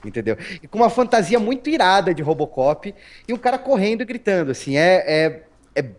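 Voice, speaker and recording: Brief: Paulistano male guest, relaxed storyteller, raised pitch, lots of restarts and "né?". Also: brisk. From Brazil. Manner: chatty, storytelling, frequent restarts and tag questions. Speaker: interview guest. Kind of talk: casual interview talk.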